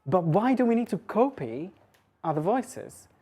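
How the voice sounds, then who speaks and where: A woman's voice: mid-range voice, very animated, a little slow, warm tone. A woman giving an informal, conversational academic lecture, thinking aloud with hesitations and rhetorical questions.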